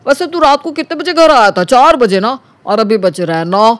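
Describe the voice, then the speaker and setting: A man playing a cunning, smooth-talking young schemer in an animated story, with a colloquial, teasing way of talking. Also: extremely high pitch, moderate pace, no echo. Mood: chummy, glib. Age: young adult. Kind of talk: Hindi animated story dialogue.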